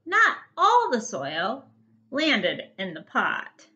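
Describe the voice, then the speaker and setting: A woman reads a picture book aloud to small children like a first grade teacher. Her voice is bright and lively, with big swings of pitch and emphatic storytelling at a slow pace. The sound is a little dull.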